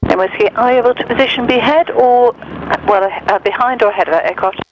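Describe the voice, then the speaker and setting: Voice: medium pitch, fast. An air traffic controller giving clipped instructions over an aircraft radio. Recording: dull, noisy aircraft radio transmission.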